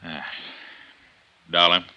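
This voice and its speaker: An actor playing a gruff, curt police inspector who probes forcefully, in a 1950s radio drama. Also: male voice, deep voice, flat delivery, slow, full character voice. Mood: gruff, skeptical. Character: gruff, hard-nosed male police inspector. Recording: muffled 1950s radio recording.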